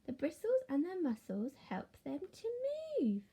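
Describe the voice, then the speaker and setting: A woman's voice: sits high, very animated, a little slow, mellow, exaggerated voice. A woman voicing a kind, knowledgeable grandmother in a storybook, explaining things patiently. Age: older.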